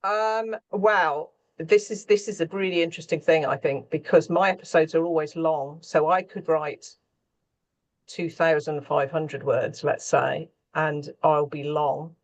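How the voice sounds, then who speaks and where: A woman's voice: low-pitched, steady tone, a little slow. A woman speaking as an interview guest, explaining her writing work in an informal, discursive, self-interrupting way.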